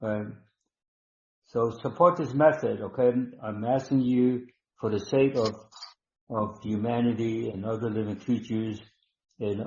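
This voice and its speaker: A man hosting a live online healing session, talking slowly and instructively, repeating words with many ums. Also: on the low side, darkish tone. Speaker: webinar host and healer. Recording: dull roomy online call audio.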